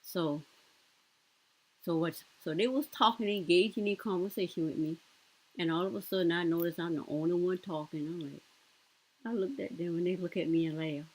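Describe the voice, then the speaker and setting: Southern woman commentator, low husky voice, rambling casual chat. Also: moderate pace, rough recording. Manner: rambling, conversational, colloquial.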